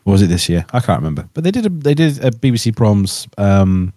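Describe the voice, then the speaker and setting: A man chatting casually on a podcast in a hesitant, halting way, often repeating himself. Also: fairly low pitch, very animated, mellow, dry recording, clean studio sound. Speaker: podcast co-host.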